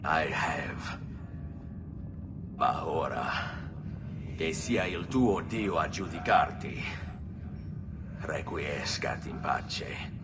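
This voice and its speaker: An actor playing a young Italian nobleman turned assassin in a video game, low, measured and solemn, with an Italian accent. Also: expressive, creaky, noisy background, exaggerated voice. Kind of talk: cinematic video game voice acting. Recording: music behind the voice. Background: background music.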